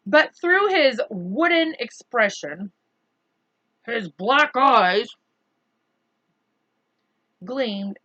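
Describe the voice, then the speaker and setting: A woman storyteller reads to children at an unhurried pace. Her voice is bright and clear-cut, with expressive, deliberate phrasing, recorded dry and close. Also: on the high side, no echo, muffled recording. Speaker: read-aloud storyteller for children. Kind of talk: children's chapter book read aloud.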